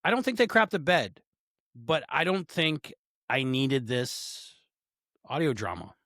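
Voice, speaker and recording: A man's voice: mid-range voice, very animated, measured pace, a bit bright, no echo, clean studio sound. A man co-hosting a casual podcast chat, hesitant, often repeating words and giving strong opinions. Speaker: podcast co-host.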